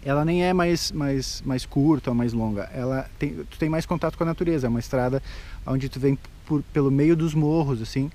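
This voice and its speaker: A man in his forties with a Gaúcho Brazilian accent explains routes at a relaxed pace, pausing often on fillers. His voice sits at an ordinary middle pitch. He speaks outdoors into a camera held at arm's length. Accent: Gaúcho Brazilian Portuguese. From southern Brazil. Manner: explanatory, hesitant with many fillers. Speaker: vlogger. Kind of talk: casual travel vlog. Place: outdoors on a lawn. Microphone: handheld action camera at arm's length.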